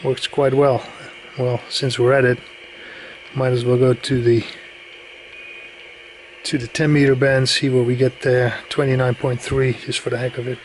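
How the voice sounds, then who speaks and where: Male hobbyist demonstrator, mid-pitched, unscripted and matter-of-fact with pauses, slight noise behind. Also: flat delivery, low-quality recording. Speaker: radio hobbyist demonstrating equipment. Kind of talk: casual hands-on product demonstration. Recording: faint background noise.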